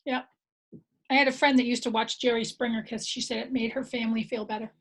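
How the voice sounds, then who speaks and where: A woman's voice: fairly high pitch, little pitch movement, fast, fairly bright tone, breathy edge. A middle-aged American woman chatting casually with colleagues in a work meeting on a video call. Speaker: school staff member leading teachers.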